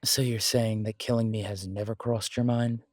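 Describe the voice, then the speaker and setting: Man acting a husky, wary, sardonic mechanic. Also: fairly low pitch, fairly even intonation, moderate pace, a little mellow, no echo, studio quality, lightly acted. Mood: wary, resigned. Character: wary, sardonic male werewolf mechanic, blunt but polite. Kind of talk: audio roleplay drama dialogue.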